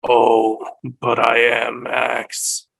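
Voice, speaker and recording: Casting: male actor as menacing monster villain, gravelly and husky, flat monotone, taunting, music underneath. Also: medium pitch, extremely slow, no room sound, heavily acted. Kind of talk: fan table read acting. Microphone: video call. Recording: dry video-call audio, music underneath.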